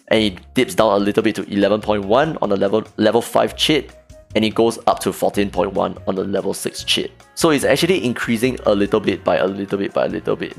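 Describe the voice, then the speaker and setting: Hoarse young man with a gravelly voice. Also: on the low side. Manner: talks through a spreadsheet, pointing out numbers. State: hoarse, losing his voice. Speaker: gaming channel host. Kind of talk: casual game strategy walkthrough.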